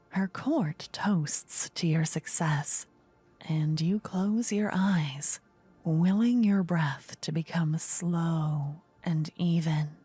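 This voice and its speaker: A woman narrating a fantasy ASMR story in a soft, soothing whisper, measured and very close to the mic. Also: lowish voice, husky. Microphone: close ear-to-ear ASMR microphone.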